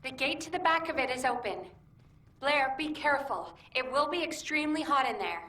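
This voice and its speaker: An actress playing a composed, analytical mission operator in a video game, measured and formal. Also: female voice, sits high, sharp tone. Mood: concerned. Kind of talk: video game support character acting. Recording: echoey, distant game audio, faint noise.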